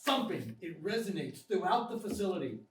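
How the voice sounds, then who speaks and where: A man's voice: high voice, very animated, a little fast, fairly bright tone. A man reading scripted screenplay narration at a live stage table read. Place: small live theatre stage. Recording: distant, echoey live theatre recording with noise.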